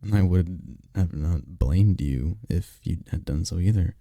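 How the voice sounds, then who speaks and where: A man playing a tender, powerful incubus boyfriend in an intimate roleplay ASMR, speaking softly and soothingly with unhurried, caring murmurs. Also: no room sound. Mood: soothing, attentive. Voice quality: deep, dark, gravelly, husky. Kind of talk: intimate roleplay ASMR, scripted comfort monologue. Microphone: close mic, dry.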